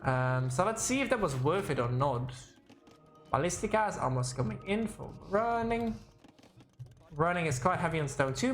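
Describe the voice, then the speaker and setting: This is a streamer casting a game live in a casual, conversational way. The talk rambles, with thoughts worked out aloud and corrected on the go. The voice has a noticeable room echo, with music faintly behind it. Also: mid-range voice. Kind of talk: casual live esports commentary, chatting with viewers.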